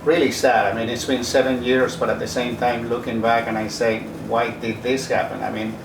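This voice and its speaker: Man, interviewee, halting speech full of fillers, echoey room, far from mic, background noise. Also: mid-range voice, little pitch movement. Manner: halting, repeats filler phrases. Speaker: interviewee, relative of a missing person. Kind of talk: personal interview. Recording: roomy, distant mic, noisy.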